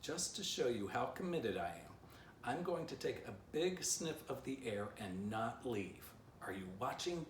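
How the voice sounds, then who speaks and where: A man's voice: mid-range voice, very animated, a little slow. Crafty male dog leader for a storybook, read by a man, declamatory, persuasive; echoey, off-mic recording. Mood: slyly defiant. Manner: declamatory, slyly insistent. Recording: roomy, distant mic, faint background noise.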